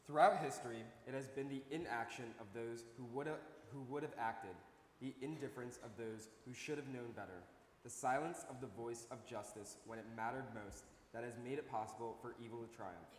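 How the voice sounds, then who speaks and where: A man's voice: mid-range voice, brisk. A young man performing a scripted stage recitation as a student reader. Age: young adult. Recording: echoey noisy hall, distant stage sound.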